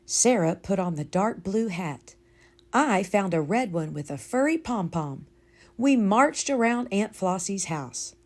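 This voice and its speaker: Slow, animated woman reading a storybook. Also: mid-range voice. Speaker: storytime reader. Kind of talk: expressive children's read-aloud narration.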